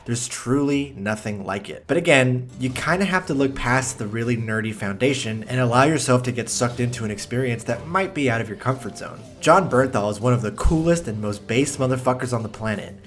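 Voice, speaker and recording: Male essay host, blunt and profane, middle pitch, soft music underneath. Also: a little fast, few pauses. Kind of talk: scripted commentary narration.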